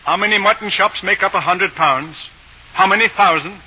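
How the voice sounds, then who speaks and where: Casting: sardonic butcher patriarch, forceful bright delivery, dull hissy vintage radio sound. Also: male voice, sits high, moderate pace, husky, full character voice. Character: stern, sceptical village butcher and father. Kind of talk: 1940s radio acting. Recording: dull old radio recording with hiss.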